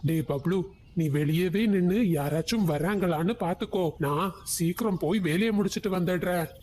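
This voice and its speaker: Cartoon crow burglar, male, played by a man, bossy and hurried, high voice, faint hiss behind. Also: darkish tone, natural voice. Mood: plotting. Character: scheming male thief crow.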